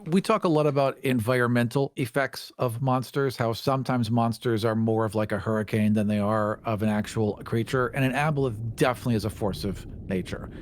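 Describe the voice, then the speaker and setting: Mid-pitched man on a dry, close recording. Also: a little fast, hardly any pauses, no room sound. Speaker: podcast panelist. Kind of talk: casual game discussion.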